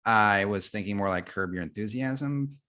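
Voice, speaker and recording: A man's voice: fairly low pitch, normal speed, bright, forceful tone. A man reading an arrogant, smug male TV actor in a comedy script, in a casual way. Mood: casual, persuasive. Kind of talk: comedy script table read.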